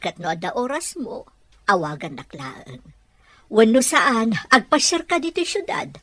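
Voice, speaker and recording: A woman's voice: fairly high pitch, big pitch swings, a little slow, a bit bright, no room sound, exaggerated voice. A woman playing a caring, welcoming older-sister figure in an Ilocano audio drama. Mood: concerned, caring. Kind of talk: Filipino radio-drama dialogue in Ilocano.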